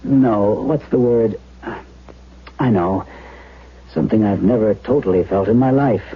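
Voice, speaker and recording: A man acting a troubled, sorrowful character with halting, dramatic delivery in a 1970s radio drama. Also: lowish voice, breathy edge. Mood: uneasy, sorrowful. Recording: dull lo-fi 1970s radio broadcast.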